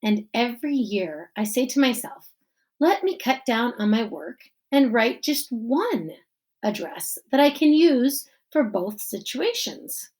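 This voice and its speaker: A woman voicing a middle-aged male school principal giving an earnest graduation speech in a children's novel, measured and emphatic with deliberate pauses. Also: fairly high pitch, very animated, darkish tone. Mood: earnest, reflective. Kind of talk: children's novel read-aloud.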